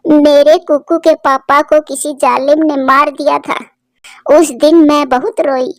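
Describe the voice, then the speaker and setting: A woman's voice: sits high, fairly even intonation, a little slow, dry recording, clean studio sound. A woman voicing a sorrowful, tender elderly mother bird in a cartoon, with a plaintive, emotional delivery. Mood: grieving.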